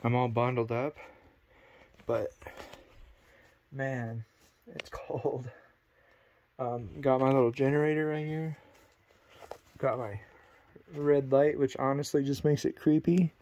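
Slow-talking nervous young man vlogging. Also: medium pitch, level tone, mellow. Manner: nervous, self-deprecating, talks slowly. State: cold and sleepy. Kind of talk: casual vlog to camera. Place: abandoned cabin at night, cold.

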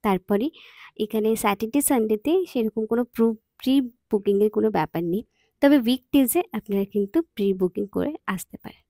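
A woman's voice: fairly high pitch, fairly quick, warm tone, no echo, studio quality. A woman narrates a casual vlog, addressing viewers directly in a conversational way. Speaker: vlogger.

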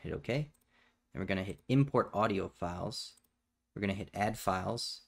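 A man hosting a step-by-step software tutorial in a casual, plainspoken way, recorded indoors at a computer. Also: fairly low pitch, moderate pace, gravelly. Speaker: tutorial host. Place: indoors at a computer, voice over screen capture.

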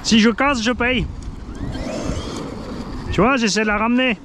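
A man's voice: very high voice, unhurried, noisy background. A man hosting an RC car outing, chatting casually and laughing often as he drives outdoors. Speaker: host filming an RC car outing. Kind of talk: casual running chat while driving. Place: outdoors in an urban spot.

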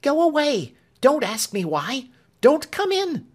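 A panicked young servant woman, high. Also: sing-song, a little slow, a little mellow, exaggerated voice. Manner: urgent, pleading, breathless.

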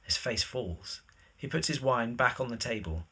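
Bright, husky male narrator. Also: mid-range voice, expressive, moderate pace. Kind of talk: scripted narration of screenplay directions.

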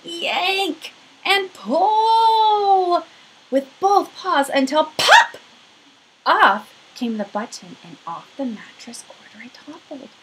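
A woman's voice: very high-pitched, very animated, slow, fairly bright tone, slight background noise, poor audio. A woman in her thirties reading a children's storybook aloud expressively, stretching key words, at home. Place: small room at home.

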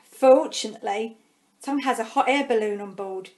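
A woman reading a children's storybook aloud expressively, with dramatic pauses and drawn-out words. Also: on the high side, darkish tone. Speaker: primary school staff member reading a storybook.

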